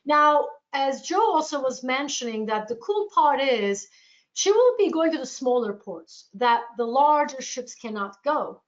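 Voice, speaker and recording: A woman with a Turkish accent speaks in a fairly high voice as she presents travel products in an informal webinar. Her delivery is enthusiastic, and the audio is dull and lo-fi. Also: sing-song, unhurried. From Turkey.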